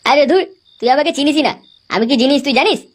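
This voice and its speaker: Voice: high-pitched, expressive, quick pace. A swaggering young cartoon lad, voiced by a performer. He brags and swears at a tremendous speed, brash and ready for a fight. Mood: boastful. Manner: brash, boastful. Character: cocky foul-mouthed young village lad, boastful drinker. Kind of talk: comic cartoon dialogue.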